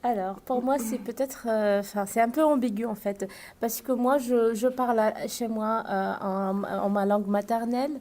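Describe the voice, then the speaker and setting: A woman student, a native Arabic speaker, answering an interview question in a halting way. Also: a little high, steady tone, a little mellow. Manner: halting, many restarts and fillers. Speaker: student guest.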